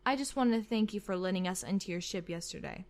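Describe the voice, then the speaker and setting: A woman's voice: mid-range voice, fast talker, natural voice. A woman playing a haughty, menacing imperial admiral in a sci-fi fan roleplay, pompous and mock-courteous. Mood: smug, mocking. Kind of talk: scripted fan audio roleplay.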